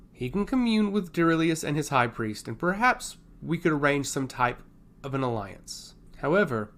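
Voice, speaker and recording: A man's voice: mid-range voice, fairly bright tone. A man voicing a proud, skeptical lord with a passionate, scornful manner in a fantasy audio story. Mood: grudging agreement. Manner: passionate, scoffing.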